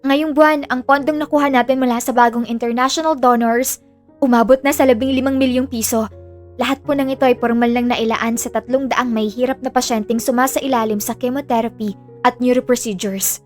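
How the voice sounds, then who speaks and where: A woman's voice: a little high, steady tone, fairly quick, faint music behind, natural voice. A woman playing a respectful, businesslike head nurse reporting formally in an audio drama. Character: female head nurse, dutiful, respectful assistant.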